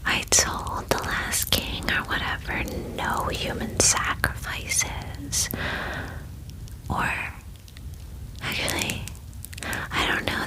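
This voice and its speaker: A woman voicing a friendly, chatty female dragon in a breathy ASMR whisper, gushing and reassuring like a best friend. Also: lightly acted, rough recording. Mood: sympathetic, apologetic. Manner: gushing bestie talk, casual fillers, reassuring. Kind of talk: whispered ASMR roleplay, personal attention.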